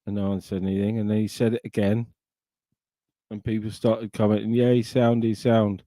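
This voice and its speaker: A man's voice: fairly low pitch, level tone, normal speed, dark tone, no echo. A middle-aged man from Sussex with an English accent, chatting casually and swearing on a live stream into a desk microphone. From England. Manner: sweary, rambling banter. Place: home, live stream call.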